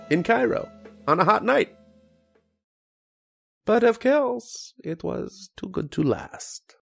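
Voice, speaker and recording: A man's voice: mid-range voice, vocal fry, natural voice. A man playing a seasoned American archaeologist in an old-time radio drama, wry, folksy and hearty. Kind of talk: old-time radio drama acting, first-person narration and dialogue.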